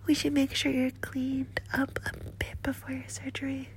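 A woman voicing a doting, flirtatious nurse in a whispered roleplay, with a soft, breathy, slow and coaxing delivery. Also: sits high, monotone. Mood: whispery, doting. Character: doting, flirtatious female nurse, motherly and teasing.

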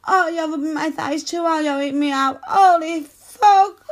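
A woman playing a submissive, pleading female lover in an erotic audio roleplay, with breathy, moaning delivery. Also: high voice, moderate pace, lightly acted. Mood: ecstatic pleading.

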